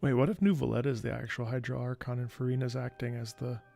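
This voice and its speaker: Fast, gravelly man reacting to a game. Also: medium pitch, level tone, mellow, soft background music. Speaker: video game streamer. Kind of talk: casual reaction commentary.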